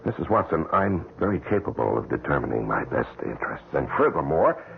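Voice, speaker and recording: A flustered, guilty gravel-voiced widower. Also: male voice, extremely low pitch, sing-song, a little fast, full character voice. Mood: puzzled, indignant. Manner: hesitant, defensive, indignant. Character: guilty, flustered wealthy widower, uneasy male employer. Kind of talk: old-time radio drama acting. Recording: noisy, narrow-band old radio recording.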